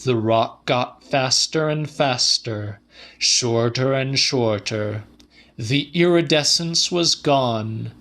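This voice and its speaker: A man reads literary fiction as an audiobook narrator. His pace is slow and deliberate, and his pitch sits in the middle. The delivery is measured, with a slightly dramatic edge. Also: fairly bright tone.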